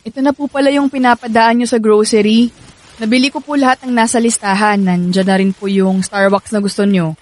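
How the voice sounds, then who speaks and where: A woman's voice: fairly high pitch, fairly even intonation, a little fast, close to an everyday voice. An actress playing a defensive young woman torn in love, with a Filipino accent, in a radio drama. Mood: dutiful, casual. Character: young woman daughter torn between two loves, defensive.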